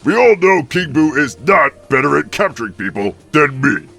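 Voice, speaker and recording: A man's voice: a little high, sing-song, moderate pace, creaky, pushed and bright, soft background music, heavily acted. A man voicing a big, cocky, bumbling male turtle monster villain in a cartoon game parody, full of blustering bravado. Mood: boastful, defensive.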